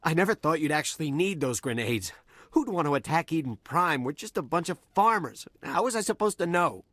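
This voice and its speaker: Game role: cowardly smuggler dockhand, shaken and stammering, tense bright tone, dry sound. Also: male voice, fairly high pitch, animated, fairly quick, no echo. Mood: defensive. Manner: nervous, stammering, defensive. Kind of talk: video game cutscene acting.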